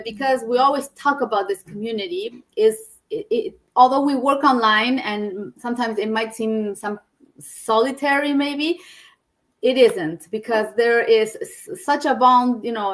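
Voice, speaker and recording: A woman hosting a live online chat, her voice sitting a little high. She speaks in a halting way, circling back and restarting phrases as she gathers her thoughts, and the sound comes through a video call. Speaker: host.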